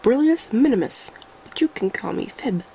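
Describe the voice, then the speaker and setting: A woman's voice: on the high side, expressive, normal speed, gravelly, dark tone, a little hiss or hum, low-quality recording. Sick, stuffy-nosed woman vlogging. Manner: chatty, self-mocking, laughs often. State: sick with a stuffy nose, voice coming and going. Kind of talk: casual daily vlog talking to camera. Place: at home indoors.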